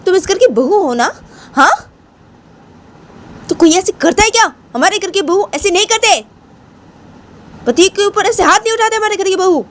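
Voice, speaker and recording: A woman voicing an elderly grandmother who scolds her daughter-in-law, nagging and repeating herself in a shrill tone. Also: expressive, moderate pace, pauses often. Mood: indignant.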